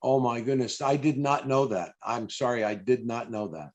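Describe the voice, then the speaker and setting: A man with a mid-pitched voice conducts a live interview over a video call. He speaks effusively, doubling back on phrases and restarting as he goes. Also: normal speed. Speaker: interviewer and event host. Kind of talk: live interview for an old-time radio fan event.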